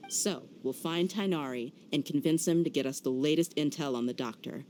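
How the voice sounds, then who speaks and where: A woman's voice: low-pitched, normal speed, darkish tone, a little hiss or hum, lightly acted. A woman playing a confident young female mercenary in a video game, teasing, bold and self-assured. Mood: businesslike. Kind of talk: video game character acting.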